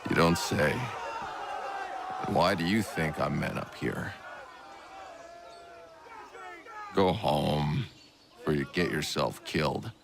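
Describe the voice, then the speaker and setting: An actor playing a gruff, sardonic older militia colonel in a video game, blunt and condescending. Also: male voice, a bass voice, slow pace, crowd noise, noisy background, exaggerated voice. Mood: dismissive. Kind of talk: dramatic video game acting.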